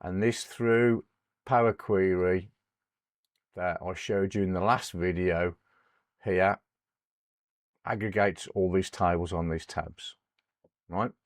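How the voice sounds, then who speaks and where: A middle-aged man, hoarse from a cold, hosting a screen-recorded spreadsheet tutorial. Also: sits low, fairly even intonation, slow, pauses often, dry recording. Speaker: host of an Excel tutorial channel.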